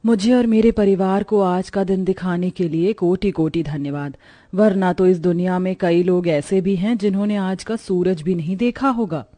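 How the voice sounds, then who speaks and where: A woman voicing a devout, gentle young daughter-in-law, patient and steady, in an animated moral story. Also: fairly low pitch, normal speed, natural voice.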